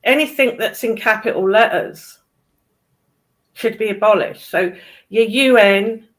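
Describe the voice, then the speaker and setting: A woman's voice: mid-range voice, measured pace. A British woman in casual political chat, speaking in a blunt, opinionated way with some swearing. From United Kingdom.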